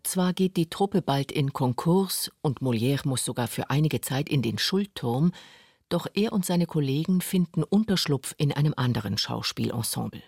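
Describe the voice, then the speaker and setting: A man's voice: mid-range voice, vocal fry, darkish tone, breathy edge, no room sound, clean studio sound. A man reading scripted documentary narration for a radio feature. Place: radio studio.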